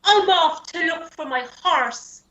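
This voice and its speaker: A woman's voice: very high-pitched, lively intonation, slow pace, bright, forceful tone, a little hiss or hum, heavily acted. A woman playing a haughty, stuck-up horsewoman in a radio play, sounding affronted, in an echoey, slightly dull recording. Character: haughty horsewoman searching for her lost horse.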